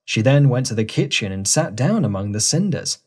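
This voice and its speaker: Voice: mid-range voice, big pitch swings, fairly quick, breathy edge. A narrator reading a scripted fairy tale for children in storybook style.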